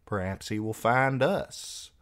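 A man voicing a solemn, cautious boy with a grave, measured way of talking in a storybook reading. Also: fairly low pitch, animated, a bit bright, no room sound, clean studio sound, voice pushed up.

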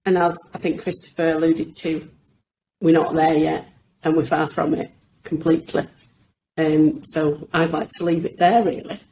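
A woman's voice: sits low, flat delivery, moderate pace, warm tone. A woman speaking informally as a panelist on a video call. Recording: roomy video call, faint background noise.

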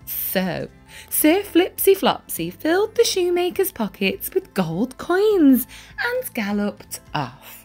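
A woman reading a children's storybook aloud as storyteller, with deliberate, dramatic emphasis on key words. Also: high-pitched, huge pitch swings, darkish tone, faint music behind. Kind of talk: expressive read-aloud storybook narration.

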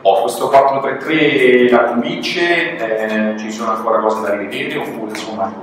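A man's voice: medium pitch, normal speed, a little hiss or hum. A man interviewing at a press conference, asking long, winding questions. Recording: echoey hall from far off.

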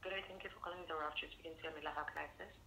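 A woman's voice: sits low, fairly even intonation, racing, vocal fry. A woman giving polite customer service over a speakerphone. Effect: telephone on speaker. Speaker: restaurant phone attendant. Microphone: phone speaker picked up by camera. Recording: echoey, very noisy speakerphone call.

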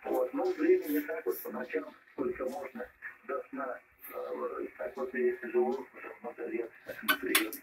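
A man's voice: medium pitch, very animated, normal speed, creaky. A man, an amateur radio operator, chatting casually over a radio transmission. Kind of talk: casual ham radio contact. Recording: noisy distant radio reception from speaker.

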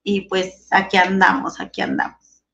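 A woman's voice: medium pitch, lively intonation, moderate pace, a bit bright. A woman in her thirties from Monterrey, Mexico, with a northern Mexican accent, a giggly and hesitant guest on a video call. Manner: giggly, hesitant, many fillers. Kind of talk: casual video-call interview. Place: small room indoors. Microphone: webcam on a video call. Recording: dull lo-fi webcam sound.

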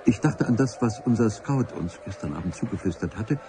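An actor playing a solemn, pedantic civil servant who narrates a radio play in formal, wordy sentences with a husky voice. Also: male voice, on the low side, animated, background noise, close to an everyday voice. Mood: solemn, wry. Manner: formal, wordy, dramatic pauses.

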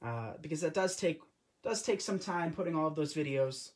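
A man's voice: on the high side, expressive, moderate pace. Man, early twenties, live crafting host, casual chat, home craft room, camera on a tripod. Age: 20s. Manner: chatty, loose and conversational with frequent restarts. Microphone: camera or phone on a tripod over the table.

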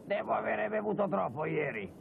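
An actor playing an excitable, crowing old man with a gravelly voice in a cartoon dub. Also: sits high, moderate pace, full character voice. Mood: groggy. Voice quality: creaky, gravelly. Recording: narrow phone-like dubbed audio, noisy.

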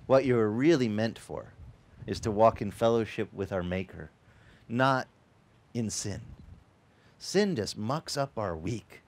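A middle-aged man whose first language is Italian, preaching a sermon outdoors at a beach with a headset mic, in a lively, conversational style full of joking asides. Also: on the low side, slow, pauses often. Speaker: pastor.

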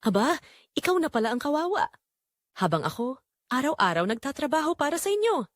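A woman's voice: high-pitched, sing-song, fairly quick, no room sound, clean studio sound, voice pushed up. A woman voicing a curt, dismissive, aggrieved working husband in an audio drama, brusque and accusing. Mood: defensive, sarcastic.

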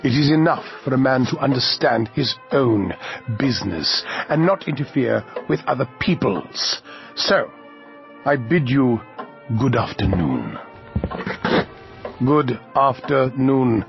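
A gravelly old miser in a radio play, sour and scornful with curt delivery. The recording is slightly dull, with faint music underneath. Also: male voice, medium pitch, sing-song, slow, exaggerated voice. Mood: cold, curt. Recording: dull lo-fi audio with music behind.

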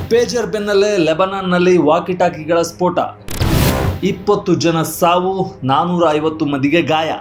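Higher-voiced male news narrator. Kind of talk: scripted news voice-over. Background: faint music bed.

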